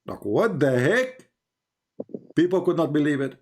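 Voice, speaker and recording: A man's voice: on the high side, big pitch swings, a little slow, darkish tone. A man speaks English as an in a live debate. He lectures insistently, like a teacher pressing a point, and keeps putting rhetorical questions to his listener. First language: non-English. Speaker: religious debater on a live call. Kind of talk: polemical live lecture to a caller.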